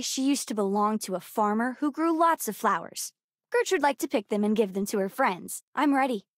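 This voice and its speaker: High-voiced, spirited young girl. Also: dry recording, clean studio sound. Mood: chatty, curious. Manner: earnest, chatty, childlike. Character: bright, bookish, spirited young girl aboard a starship. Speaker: video game voice actress. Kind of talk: acted video game character dialogue.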